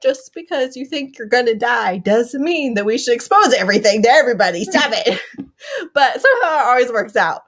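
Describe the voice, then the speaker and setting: A woman chatting casually and emphatically as co-host of a book readalong, animated when retelling. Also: on the high side, moderate pace. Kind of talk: casual chat about books.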